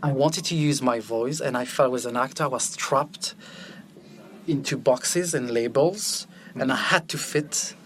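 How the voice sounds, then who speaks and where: A man's voice: medium pitch, a little slow, a bit bright, slight background noise. A man from Belgium whose first language is French, a film director guest talking candidly and with animation about his life, laughing easily. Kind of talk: candid personal conversation.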